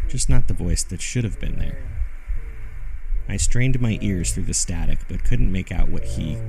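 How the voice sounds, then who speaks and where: A man with a low, gravelly voice narrates an eerie first-person tale. His delivery is measured and dryly conversational, like someone reading out a journal. Music plays clearly beneath him. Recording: music under the voice. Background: background music.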